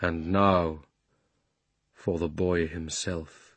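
A man voicing a majestic, solemn male lion king who speaks in a grave, measured, commanding way. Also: sits low, level tone, fairly bright tone, husky, no room sound, voice pushed down, heavily acted.

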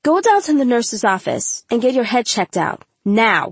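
A woman's voice: on the high side, very animated, normal speed, slightly rough, dry recording, pitched above the performer's own voice, full character voice. A woman voicing a stern but protective school staff member in an audiobook, scolding and commanding. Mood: scolding concern.

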